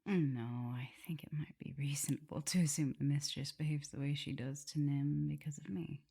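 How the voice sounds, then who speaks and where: A woman playing an affectionate, teasing vampire mistress in an intimate ASMR roleplay, speaking softly and tenderly with a breathy, husky voice. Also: low voice, fairly even intonation, exaggerated voice. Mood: relaxed, teasing. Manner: soft, unhurried, tender, gently teasing. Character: affectionate, teasing female vampire mistress and professor, doting lover.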